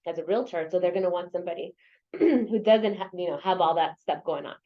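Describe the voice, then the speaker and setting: Earnest woman guest from el paso, lower voice, muffled call sound. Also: a little fast. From El Paso, Texas. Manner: earnest, repeats herself, many "you know" fillers. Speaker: real estate agent and local association president. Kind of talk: interview guest, casual conversation. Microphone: video or phone call.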